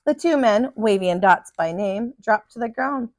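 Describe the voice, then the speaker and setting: A woman reading a storybook aloud for children in an animated, dramatic teacher's style. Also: on the high side, moderate pace, background noise.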